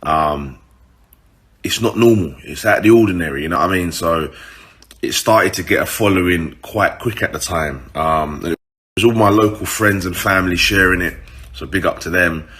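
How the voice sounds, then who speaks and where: A man's voice: sits low, normal speed, a bit bright. A man with a British urban accent telling a story casually to his followers, informal, slangy and sweary.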